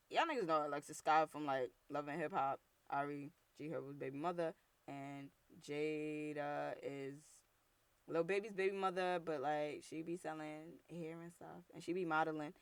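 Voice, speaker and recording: A young woman from New York with a deep, rough voice and a New York accent, hosting her own show with blunt, slangy, heated talk. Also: no echo. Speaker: podcast host. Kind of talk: casual solo commentary.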